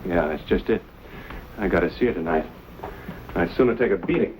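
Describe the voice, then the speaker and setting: An actor playing an easygoing, confident frontier knife fighter in an old-time western drama, folksy and wry. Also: male voice, low-pitched, a little fast, lightly acted. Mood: smitten, uneasy. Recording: muffled, hissy old radio-era recording.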